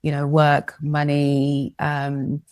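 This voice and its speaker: A woman hosting a podcast, asking gentle questions and offering supportive murmurs. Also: sits low, completely flat delivery, takes it slowly, no room sound, clean studio sound. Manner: supportive, many soft backchannels. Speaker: podcast host. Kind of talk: interviewing, gentle conversation.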